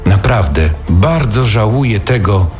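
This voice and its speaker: An actor playing a sullen, self-pitying middle-aged killer in a radio crime drama, with music behind. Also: male voice, fairly low pitch, lively intonation, unhurried, darkish tone. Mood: pleading.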